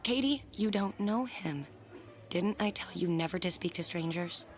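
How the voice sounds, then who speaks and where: A woman's voice: fairly low pitch, normal speed, faint music behind, natural voice. An actress playing a strict, wary, exasperated mother in a radio drama, clipped and scolding.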